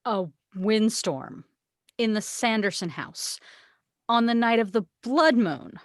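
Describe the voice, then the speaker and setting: A woman's voice: fairly high pitch, animated, takes it slowly, no echo. Woman as a stern, worried mother, close and dry. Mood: suspicious. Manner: stern, scolding. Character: upset, worried, reproachful mother. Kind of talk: at-home script table read.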